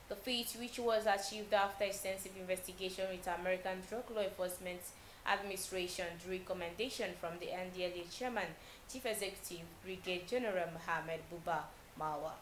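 A woman's voice: medium pitch, roomy sound, a little hiss or hum, rough recording. Nigerian woman reading the news. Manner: steady measured reading with small slips. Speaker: newsreader. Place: TV news studio.

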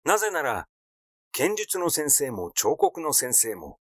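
A man reading a literary story aloud as a scripted, measured narration. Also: a little high, very animated, a bit bright, no room sound, clean studio sound. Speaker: audiobook reader.

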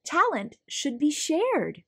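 A woman's voice: high voice, wildly swooping pitch, takes it slowly, bright, forceful tone, dry recording, studio quality, pitched above the performer's own voice, full character voice. A woman voicing an emphatic, insistent school principal in a children's storybook. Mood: enthusiastic. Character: stern-sounding, then enthusiastic, insistent woman school principal.

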